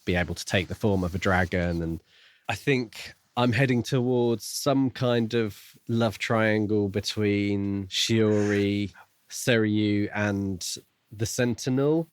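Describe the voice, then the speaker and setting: A man co-hosting a podcast, talking casually about a book in measured, hedging phrases. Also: on the low side, clean tone, no echo. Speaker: podcast co-host.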